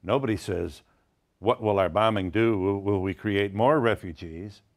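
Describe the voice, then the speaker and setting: A man's voice: fairly low pitch, moderate pace. A man interviewing a guest, asking thoughtful, probing questions.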